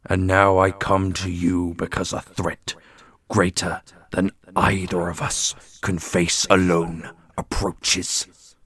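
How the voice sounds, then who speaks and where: A man playing a proud, earnest dark prince in a fantasy audio roleplay, speaking formally. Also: sits low, little pitch movement, slow pace. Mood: grave.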